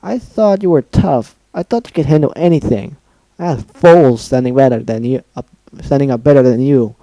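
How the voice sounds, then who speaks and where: A man voicing a sadistic female cartoon pony who scolds her captive in a raised, shrill voice. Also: wildly swooping pitch, fairly quick, dry recording, rough recording. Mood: ranting. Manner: shrill scolding, getting louder.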